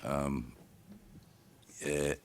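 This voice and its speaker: A man running for re-election at a local candidates forum, giving a brief, halting, unprepared opening statement full of ums and uhs into a table microphone. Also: extremely low pitch, little pitch movement, gravelly. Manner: plain-spoken and brief. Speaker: incumbent candidate at a town forum. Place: public candidates forum in a meeting hall.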